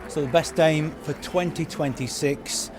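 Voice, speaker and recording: A man's voice: a little high, unhurried, music in the background, crowd noise. A man hosting a podcast and interviewing guests on a red carpet, chatty and teasing.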